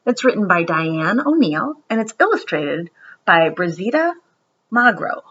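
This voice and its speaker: A woman introducing a picture book to young children, speaking in simple, deliberate phrases as a read-aloud storyteller. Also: on the low side, very animated, fairly bright tone, husky, dull audio.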